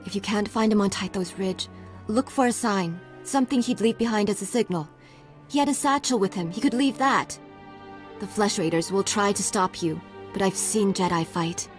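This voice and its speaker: A woman's voice: medium pitch, moderate pace, lightly acted. A woman voicing an earnest, solemn village mother in a video game. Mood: worried, pleading. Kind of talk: video game character acting. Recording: game music behind voice.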